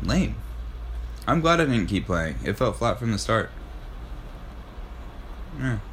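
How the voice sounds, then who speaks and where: A man's voice: lowish voice, big pitch swings, takes it slowly, a bit bright, a little hiss or hum. A young man co-hosting a podcast, giving blunt, sweary opinions in casual chat. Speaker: podcast co-host.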